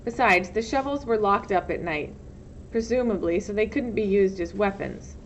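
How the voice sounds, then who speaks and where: A woman's voice: medium pitch, lively intonation, moderate pace. A woman reading a storybook aloud as narrator, expressively, like a teacher. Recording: dull lo-fi sound, faint noise.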